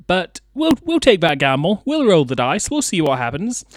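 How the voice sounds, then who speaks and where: Young male presenter, higher-pitched and very lively, chatty showman patter, dry studio sound. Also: moderate pace, no echo. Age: young adult. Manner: theatrical, playful showman delivery. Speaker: radio show host.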